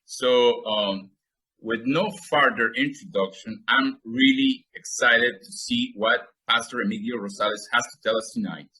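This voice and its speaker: A man with a bright, forceful voice hosting an interview. He sounds upbeat and stops often for fillers. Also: mid-range voice, unhurried, poor audio. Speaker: host.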